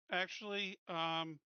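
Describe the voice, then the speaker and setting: A man plans his moves in a tabletop game in casual talk. His voice is very bright and forceful and a bit high for a man. It sounds close and dry. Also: fairly even intonation, extremely slow, creaky, clean tone, no room sound, lo-fi sound.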